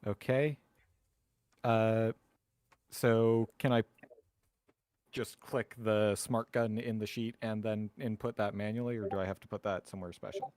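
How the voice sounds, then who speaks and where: A man chatting casually as a tabletop game player, thinking aloud deliberately about his moves. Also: fairly low pitch, fairly even intonation, a little mellow, no echo.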